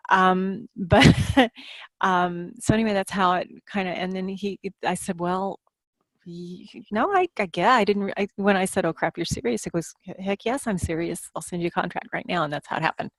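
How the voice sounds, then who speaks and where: A woman with a lowish voice talks casually as a podcast guest, recounting anecdotes about her writing life. The sound is dry, with very little room in it. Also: normal speed, no room sound.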